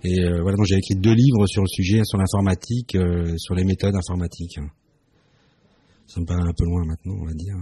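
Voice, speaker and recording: Fifties, French-born male guest, very low husky voice, hesitant and reflective. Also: fairly even intonation, brisk, dark tone, dry recording. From France. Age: 50s. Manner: hesitant, many fillers, reflective. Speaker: radio guest. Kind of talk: live radio interview.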